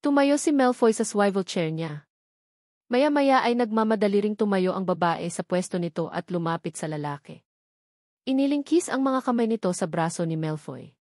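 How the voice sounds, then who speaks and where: A woman's voice: mid-range voice, animated, normal speed, darkish tone, no echo, studio quality. A woman reading a romance novel as a scripted audiobook narration.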